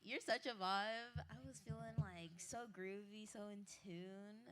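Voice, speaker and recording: A woman hosting a live concert stage, interviewing a guest and giving shout-outs in a chatty, upbeat way full of fillers and apologies. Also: medium pitch, steady tone, unhurried, a little hiss or hum. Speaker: host. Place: live stage at an indoor market venue. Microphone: handheld stage mic.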